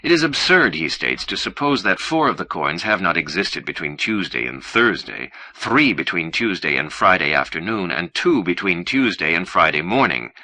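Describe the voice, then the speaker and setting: A man reading literary fiction as an audiobook narrator, in a measured, formal and precise manner. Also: sits low, big pitch swings, bright, forceful tone, no echo.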